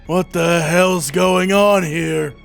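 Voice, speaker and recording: A man playing a gruff, harried police detective in a comic book audio drama, with a gravelly voice. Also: sits high, fairly even intonation, slow pace, full character voice. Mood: exasperated. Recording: music under the voice.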